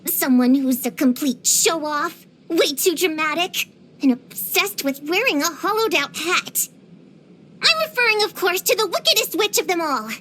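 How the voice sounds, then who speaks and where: A woman's voice: sits high, a bit bright. A woman voicing an earnest, bookish young girl witch hunter in a video game, precocious, proud and slightly dramatic. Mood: indignant, determined. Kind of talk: scripted game voice lines.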